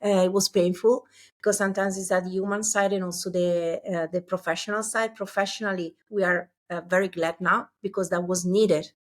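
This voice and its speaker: A woman with an Italian accent, a startup CEO talking as a guest in an interview, pausing often and searching for English words. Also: mid-range voice, steady tone. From Italy. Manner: halting, many filler sounds, searching for English words. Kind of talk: conversational interview.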